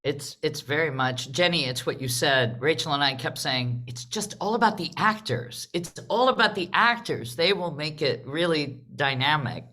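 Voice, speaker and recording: A woman's voice: sits low, animated, moderate pace, hardly any pauses, pushed and bright. A woman hosting a live panel discussion, speaking in a casual, conversational style. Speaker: panel host of a theater livestream.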